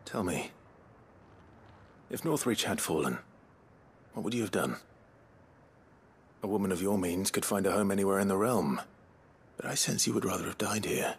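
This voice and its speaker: Deep-voiced, chivalrous fantasy warrior. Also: male voice, animated, moderate pace, pauses often, slightly rough, heavily acted. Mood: gently probing. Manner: courteous, understated, sincere. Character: chivalrous, earnest fantasy warrior man, quietly attentive. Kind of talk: dramatic video game cutscene acting.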